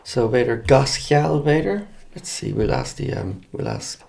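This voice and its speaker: An Irish man co-hosting a casual bilingual chat, speaking haltingly as he repeats phrases and explains words. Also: medium pitch, very animated, gravelly, darkish tone. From Ireland. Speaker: podcast co-host.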